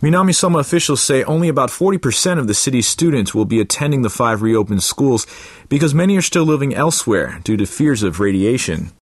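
A man's voice: mid-range voice, animated, fast, husky, no echo. A man reading a scripted international news report for radio as a news reader.

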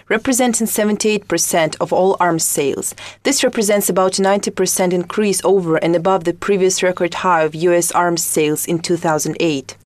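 A woman's voice: fairly low pitch, a little mellow, dry recording. A woman reading a scripted radio news report.

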